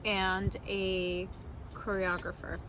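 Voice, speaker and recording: A woman's voice: mid-range voice, monotone, slow pace, background noise. A woman in her forties who was born in Mexico, answering interview questions as a wedding planner in a candid, casual way, laughing often and swearing now and then.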